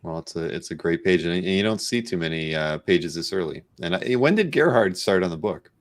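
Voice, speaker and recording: Male host, low-pitched voice, agreeable and conversational, for an informal live-stream interview. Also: animated, fairly quick.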